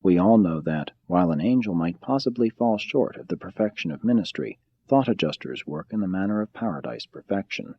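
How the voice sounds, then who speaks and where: A man reading a spiritual audiobook in a measured, solemn and formal manner. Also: deep voice, animated, husky, dull audio. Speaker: audiobook narrator. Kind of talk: scripted audiobook narration of a spiritual text.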